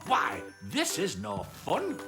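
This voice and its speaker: A woman's voice: low voice, wildly swooping pitch, takes it slowly, exaggerated voice. Woman doing a plucky little storybook boy, really up n down voice, music behind. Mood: unimpressed. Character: small, thin, plucky young boy adventurer.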